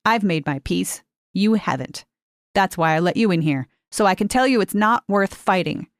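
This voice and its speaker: A woman's voice: mid-range voice, expressive, moderate pace, dry recording, studio quality, lightly acted. A woman voicing a stern, curt elderly retired teacher who talks in clipped, blunt sentences.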